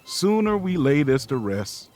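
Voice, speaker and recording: Male audio drama voice, folksy protective traveller, easygoing, animated intonation, reassuring. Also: fairly high pitch, unhurried, soft background music. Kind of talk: audio drama acting, naturalistic.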